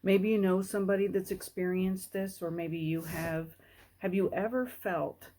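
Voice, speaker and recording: A woman hosting a personal talk, speaking earnestly and deliberately with pauses between phrases. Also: fairly low pitch, steady tone, background noise. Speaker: host.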